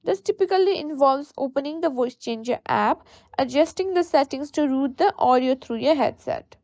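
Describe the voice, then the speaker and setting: A woman's voice: sits high, animated, normal speed, a little mellow, no room sound. A woman presenting a scripted how-to tutorial as narration.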